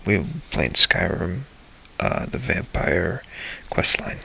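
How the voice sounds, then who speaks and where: A man hosting a gaming series, narrating a scripted first-person story in a brooding, halting, dramatic way. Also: sits low, animated, vocal fry, highs cut off, background noise. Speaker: host of a role-play gaming series.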